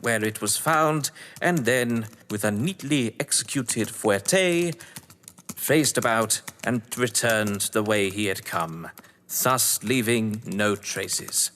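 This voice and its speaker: A man voicing a pompous, majestic English great detective, booming and deliberate, in a dramatic read-aloud of detective fiction. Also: a bit bright, slight background noise. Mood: triumphant, pompous. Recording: long reverberant hall echo.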